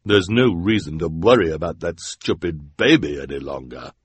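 A male narrator voicing a sinister henchwoman with a deep voice. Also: lively intonation, normal speed, breathy edge, dry recording. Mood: cold.

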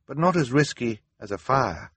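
Man acting out earnest teenage girl, higher husky voice, anxious older sister, storybook character. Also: lively intonation, normal speed, fairly bright tone, dry recording. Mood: determined.

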